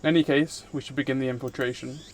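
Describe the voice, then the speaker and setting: A man's voice: medium pitch, fairly even intonation, quick pace. A man live streaming, reading visual novel narration aloud and chatting casually with viewers in between. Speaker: streamer, VTuber host.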